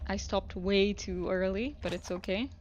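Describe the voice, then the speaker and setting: A woman live streamer chatting casually while playing a video game, relaxed and rambling as she thinks aloud. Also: medium pitch, normal speed, slight background noise. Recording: music playing behind the voice. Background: music.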